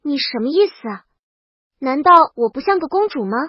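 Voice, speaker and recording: High-pitched woman narrating a comic story. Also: big pitch swings, normal speed, no echo, lo-fi sound. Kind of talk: first-person comic scripted narration, princess heroine.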